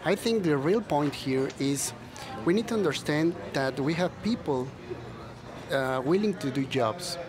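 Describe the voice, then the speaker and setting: A man on a panel, speaking in measured phrases with pauses. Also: a little high, animated, over music. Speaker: panel guest.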